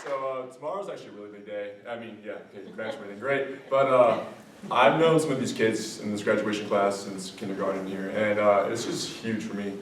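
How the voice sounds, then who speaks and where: Young man, late teens, fairly low voice, halting informal speech, personal story, distant mic. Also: a crowd in the background. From Croatia, moved to Vermont as a child. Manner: informal, halting, youthful phrasing. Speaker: student speaker. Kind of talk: personal story told to an audience. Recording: echoey room, distant mic, faint noise.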